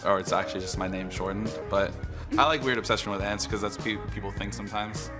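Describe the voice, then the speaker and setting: A man in his twenties from California, a tournament player talking in a relaxed, joking way. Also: on the low side, fast, over music. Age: 20s.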